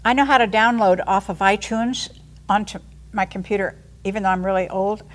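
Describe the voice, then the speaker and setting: A woman in her eighties, a line dance instructor, chatting eagerly and excitedly about her family in an interview. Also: medium pitch, a little fast. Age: 80s. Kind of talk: casual interview chat.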